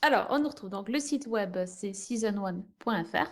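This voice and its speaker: A woman's voice: medium pitch, animated, normal speed. A woman podcaster speaking as a guest in a casual round-table chat. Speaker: podcast guest, podcaster presenting their show.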